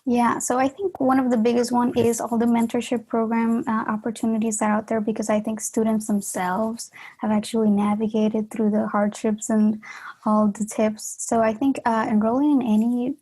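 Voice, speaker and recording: A woman's voice: on the high side, flat delivery, normal speed, mellow, husky, low-quality recording. Earnest young woman from Honduras. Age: 20s. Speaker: graduate student guest. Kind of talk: interview answers.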